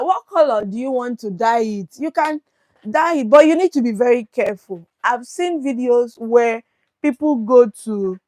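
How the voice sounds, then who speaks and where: A woman's voice: on the high side, a little mellow, no room sound, rough recording. Chatty Nigerian woman on a livestream. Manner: chatty, reads viewer questions aloud and answers them. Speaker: livestream host. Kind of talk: casual live Q&A.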